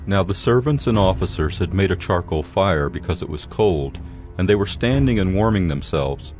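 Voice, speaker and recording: A deep-voiced man narrates a scripture drama, reading from a script. Soft music sits behind his voice. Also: expressive, moderate pace.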